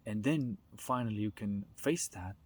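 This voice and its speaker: A man with a fairly low, noticeably husky voice talks through ideas in an informal monologue. He explains things step by step in a halting way, often searching for the next word. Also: a little mellow. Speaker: speaker on self-development.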